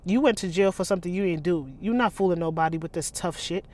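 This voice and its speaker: A woman's voice: fairly low pitch, fast, darkish tone, natural voice. Woman reading as a cocky young American hustler. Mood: mocking. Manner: brash, mocking, slangy. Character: cocky twenty-year-old man, mouthy street hustler.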